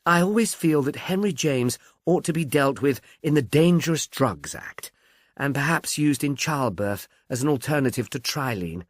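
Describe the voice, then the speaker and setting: Guy doing an amiable chatty detective for an audiobook, bit slow on the uptake, really lively intonation, dry sound. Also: medium pitch, dry recording, voice pushed up, close to an everyday voice. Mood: genial, musing. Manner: chatty, musing, earnestly puzzled. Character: Humbleby, amiable, mildly obtuse police detective, male. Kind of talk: audiobook character dialogue.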